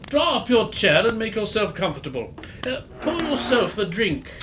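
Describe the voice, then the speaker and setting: A man playing a genial, old-fashioned gentleman doctor who recounts old cases in an audio drama. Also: high-pitched, sing-song, normal speed, noisy background. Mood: genial, welcoming. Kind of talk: audio theatre acting, storytelling.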